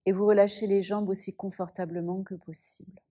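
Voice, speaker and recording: A woman yoga teacher guiding a yoga nidra relaxation, speaking softly and soothingly with long pauses. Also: medium pitch, level tone.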